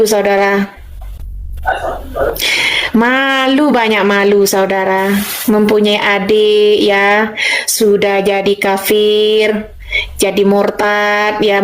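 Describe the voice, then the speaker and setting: A woman's voice: mid-range voice, poor audio. A woman from Madura gives a fervent, informal religious testimony. She keeps repeating reassurances and calls her listeners brothers and sisters. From Madura, Indonesia. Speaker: convert giving a religious testimony.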